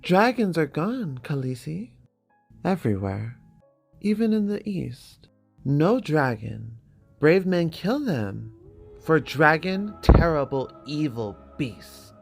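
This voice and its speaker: A man voicing a solemn, fearful, superstitious foreign handmaid who speaks in halting, broken phrases. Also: a little high, sing-song, vocal fry, faint music behind, voice pushed up, exaggerated voice. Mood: solemn warning.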